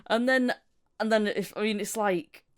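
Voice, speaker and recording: A woman hosts a casual interview in a clean, smooth voice. She is chatty and modest about herself, talking informally as if among peers. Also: mid-range voice, moderate pace. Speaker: podcast host.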